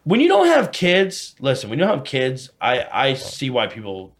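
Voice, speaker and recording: Casting: American male, 30s, mid-pitched voice, loose candid chat, swears freely, rambles and doubles back. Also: wildly swooping pitch, moderate pace, slight background noise. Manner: profane, rambling, repeats himself, frequent "you know". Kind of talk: casual candid podcast chat.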